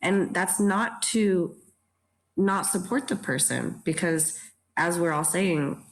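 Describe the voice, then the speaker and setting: A woman's voice: on the low side, takes it slowly, rough recording. A woman speaking earnestly in a panel discussion, reflective and emphatic, often checking in with her listeners. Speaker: theatre director in a panel talk.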